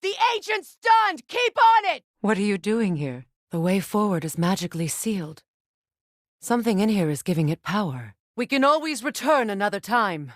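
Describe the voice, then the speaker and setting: A woman's voice: mid-range voice, sing-song, moderate pace, dry recording, lightly acted. A proud Norse goddess from a fantasy video game, played by a woman. She is a middle-aged warrior and mother who carries grief. Her words are formal and earnest, in a close, dry recording. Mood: urgent battle shouting. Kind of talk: dramatic game dialogue.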